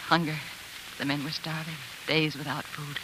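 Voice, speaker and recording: An actress playing a devoted, determined politician's wife in an earnest, articulate 1940s radio drama style. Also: female voice, low voice, level tone, normal speed, noisy background, lightly acted. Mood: reflective, dramatic. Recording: vintage 1940s radio recording.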